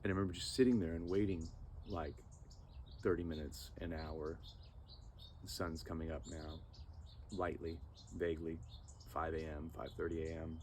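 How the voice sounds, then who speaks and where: Low-voiced man telling a personal story. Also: normal speed, pauses often, slight background noise. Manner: earnest, animated, sound effects in the telling.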